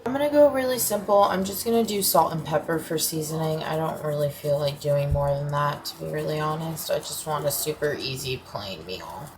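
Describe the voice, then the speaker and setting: Woman, American accent, sleepy voice, low pitch, casual vlog chat, home kitchen, faint background hum. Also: fairly quick, hardly any pauses. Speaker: lifestyle vlogger.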